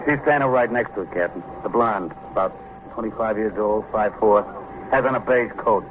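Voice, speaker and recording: A man's voice: lowish voice, moderate pace, natural voice. An American man playing a nosy, folksy building superintendent in a vintage radio crime drama, garrulous, hesitant and colloquial. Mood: confiding. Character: chatty, nosy, folksy married building superintendent, eager to help. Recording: noisy, narrow-band old radio recording.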